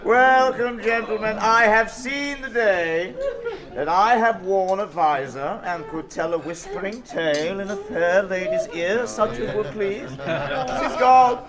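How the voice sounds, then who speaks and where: An actor playing a jovial, blustering old nobleman who is hosting a feast, in a Shakespearean audio drama. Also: a crowd in the background. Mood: merry, boisterous. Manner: expansive, booming, chuckling, quick to scold. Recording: loud music behind the voice.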